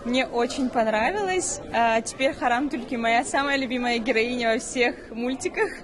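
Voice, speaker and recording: Enthusiastic young-sounding voice actress. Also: female voice, a little high, normal speed. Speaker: voice actress of the cartoon. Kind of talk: casual interview answer. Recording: crowd noise around.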